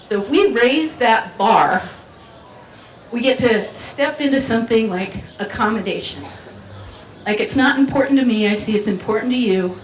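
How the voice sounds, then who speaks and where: A woman's voice: on the high side, normal speed. A woman giving a playful, chatty keynote talk at a community festival, drawing the audience in. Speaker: festival speaker. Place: community event venue. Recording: roomy hall sound from far off, faint ambience.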